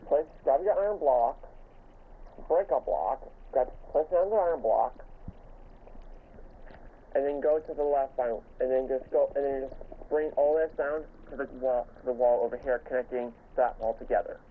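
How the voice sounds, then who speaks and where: A man's voice: medium pitch, moderate pace, slightly rough, phone-quality sound. A man giving casual game tutorial commentary as he builds, repeating himself and stumbling over words with an occasional stutter. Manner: stumbling, repetitive delivery, stutters at times. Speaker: gaming video host.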